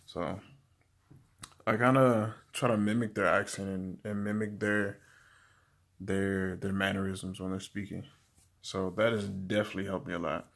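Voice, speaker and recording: A young man giving casual language-learning tips to camera, talking in a relaxed, rambling way with many fillers and restarts. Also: low-pitched, normal speed, vocal fry. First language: English.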